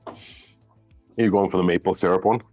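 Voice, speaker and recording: A man's voice: low-pitched, a little fast, no echo, narrow, tinny audio, soft background music. A Welsh man in his thirties with a Welsh accent, chatting casually about whiskey, a bit mumbly. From Wales. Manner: relaxed, chatty, slightly mumbly. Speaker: guest whiskey taster. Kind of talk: casual chat.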